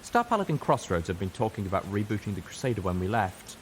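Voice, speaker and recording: A man's voice: on the low side, very animated, fast, a little hiss or hum. A man reading a scripted first-person story as a dramatic narrator. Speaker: audiobook reader.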